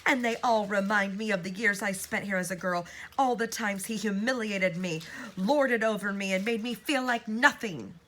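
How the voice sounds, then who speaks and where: A woman voicing a vengeful old spirit woman who mocks and booms with hatred in an audiobook. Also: lively intonation, moderate pace. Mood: raging. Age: older. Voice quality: menacing, booming, mocking. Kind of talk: audiobook character voice.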